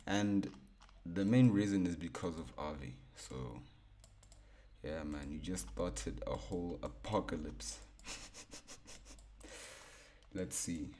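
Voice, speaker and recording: Chill young guy, real low baritone, walking thru trades at his computer. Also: slow, pauses often, warm tone, slight background noise. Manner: laid-back, repeats himself, thinks aloud. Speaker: forex trading educator. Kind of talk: casual screen-share chart breakdown.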